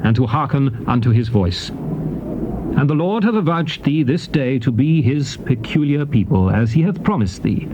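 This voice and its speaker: Muffled hissy tape, man acting as solemn biblical lawgiver, declamatory, measured, animated intonation, dramatized scripture reading. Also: medium pitch, lightly acted. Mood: solemn, commanding. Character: solemn male lawgiver addressing his people in scripture. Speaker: scripture narrator. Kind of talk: dramatized King James Bible reading. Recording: dull noisy old cassette tape.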